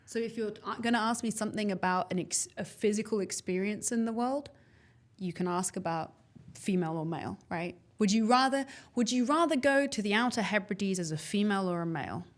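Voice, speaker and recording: A woman artist in a casual discussion, emphatic and precise, quick to laugh. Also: medium pitch.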